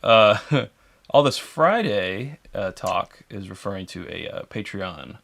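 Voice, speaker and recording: A man co-hosting a relaxed live chat, his voice moving up and down a lot as he talks. He speaks in an easygoing way and laughs often. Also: fairly low pitch, takes it slowly, creaky. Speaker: co-host.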